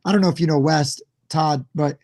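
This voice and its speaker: Hoarse guy talking loud and rambly, kinda high voice, casual show chat. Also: steady tone, fairly quick.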